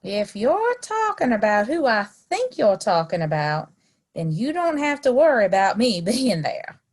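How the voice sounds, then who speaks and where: A woman playing a friendly, bold frontier townswoman in a western audio play, slightly husky and chatty. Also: on the high side, sing-song, measured pace. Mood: playful, friendly.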